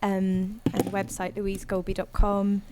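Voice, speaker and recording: A woman's voice: medium pitch, completely flat delivery, measured pace, very noisy. A singer-songwriter, a woman, chatting casually and rambling with many ums as a guest on a live audio room call. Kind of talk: casual chat after a live set.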